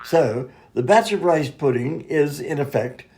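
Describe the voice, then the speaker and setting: An actor playing a vague, amiable, absent-minded doctor in a comedy radio play, dithering and trailing off. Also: male voice, a little high, lively intonation, a little slow, a little mellow, a little hiss or hum. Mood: earnest, vague.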